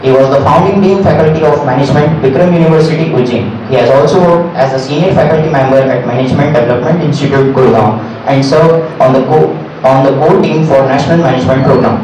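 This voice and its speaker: A man's voice: mid-range voice, fast talker. A man with an Indian accent acting as emcee, formally reading out a guest's credentials as a list. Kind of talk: formal introduction. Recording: echoey hall, distant mic, noise.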